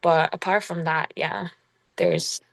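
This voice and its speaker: A young woman from Ireland, a college basketball player, talking casually in an interview with an Irish accent gone strongly American, halting and full of fillers. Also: lowish voice, gravelly, no room sound. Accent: Irish with a strong American tinge. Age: young adult. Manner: relaxed, halting, full of "like" fillers, laughs often.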